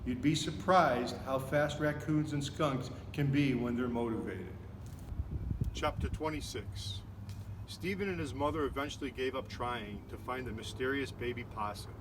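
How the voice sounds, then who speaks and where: A man reading a children's book aloud in an expressive storytelling style. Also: mid-range voice, normal speed. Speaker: volunteer story reader. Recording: echoey, reverberant room with noticeable noise.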